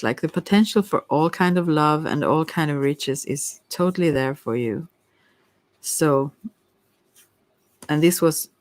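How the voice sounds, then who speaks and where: A person giving a casual ASMR tarot reading, rambling and musing in a gentle, reassuring and hesitant way. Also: mid-range voice, no echo. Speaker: tarot card reader.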